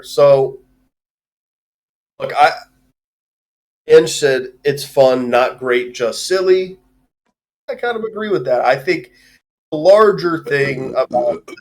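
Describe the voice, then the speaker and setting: A man chats casually as a livestream co-host. His delivery is loose and rambling, peppered with swearing, as he gives his opinions in an ordinary mid-pitched voice. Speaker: stream co-host reviewing a movie. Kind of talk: casual movie discussion on a livestream.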